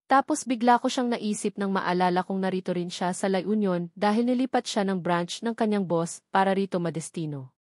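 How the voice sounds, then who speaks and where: A woman narrates a romance story in the first person. Her voice sits at a middle pitch, and the recording is dry and close, like an audiobook. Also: dry recording. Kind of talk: scripted first-person novel narration with acted dialogue.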